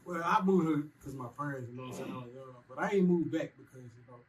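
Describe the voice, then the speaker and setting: A man's voice: fairly high pitch, quick pace, low-quality recording. A man from Kentucky chatting casually in an interview, easygoing and loose, often asking "you know what I'm saying".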